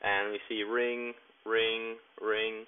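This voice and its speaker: A man's voice: fairly low pitch, flat delivery, slow, clean tone. Man on a tinny, phone-like recording. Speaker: tutorial presenter. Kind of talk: step-by-step tech tutorial narration. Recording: tinny, narrow phone-like sound.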